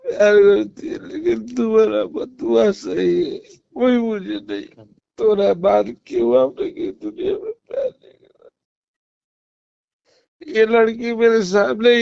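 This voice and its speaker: A high-voiced man with a Bhojpuri accent argues in a pleading, agitated way. His voice has a gravelly creak, and he keeps repeating himself. The phone-call recording is dull and lo-fi. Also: no room sound. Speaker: caller in a personal phone argument. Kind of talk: emotional private argument.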